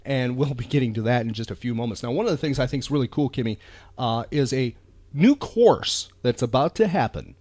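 A man's voice: medium pitch, lively intonation, brisk, a little mellow, no room sound. A man hosting a chatty pop culture podcast, talking with enthusiasm and emphasis, often repeating phrases for effect. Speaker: podcast host.

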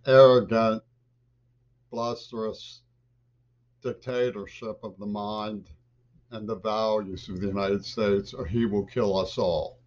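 Older man, webcam monologue from a home office; slow, low, erratic bursts; muffled lo-fi audio. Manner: agitated, lurching between loud bursts and mutters. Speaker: vlogger. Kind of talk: rambling political monologue to camera. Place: small home office with bookshelves, indoors. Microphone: webcam at desk.

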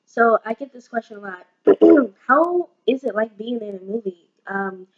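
A woman's voice: on the high side, animated, unhurried, narrow, tinny audio. A nineteen-year-old woman chatting in a casual home vlog, bubbly and chatty and slipping into sung phrases, recorded on a webcam in a small room. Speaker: vlogger.

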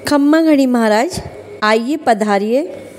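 A woman playing a gracious, hospitable but gently firm noble grandmother in a radio drama. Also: fairly high pitch, takes it slowly, a little hiss or hum. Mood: welcoming.

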